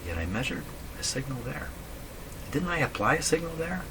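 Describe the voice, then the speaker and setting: A man thinking aloud in a casual, halting way as he explains a repair at his workbench, often putting questions to himself. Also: a little high, sing-song. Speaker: radio repair hobbyist, host. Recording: clearly noisy room recording.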